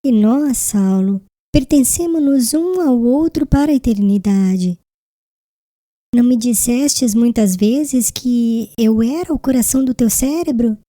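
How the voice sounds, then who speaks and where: A woman playing a gentle, devout, frail young bride in a dramatised audiobook, speaking tenderly and humbly in solemn literary phrases. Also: on the high side, expressive, a little slow, no echo. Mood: tender devotion.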